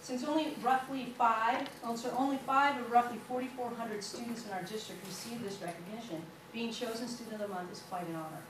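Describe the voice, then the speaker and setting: A woman's voice: a little high, fairly quick, hardly any pauses. Woman presenter at a board meeting, reads steadily, far off in a reverberant room with background noise. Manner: reads aloud steadily, with small slips and fillers. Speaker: school official presenting an award. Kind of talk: reading a formal introduction at a meeting. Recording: echoey hall, picked up from far off, with noise.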